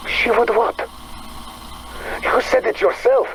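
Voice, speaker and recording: A man's voice: very high-pitched, steady tone, slow pace, full character voice, poor audio. An actor playing a guarded, bitter Russian man, speaking with a Russian accent and a curt, intense manner in a game drama. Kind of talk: dramatic game dialogue acting.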